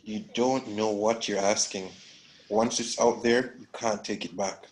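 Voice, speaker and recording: A man playing a grieving, protective father in a drama table read, serious and measured. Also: on the low side, steady tone, slight background noise, natural voice, rough recording. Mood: grave, wary.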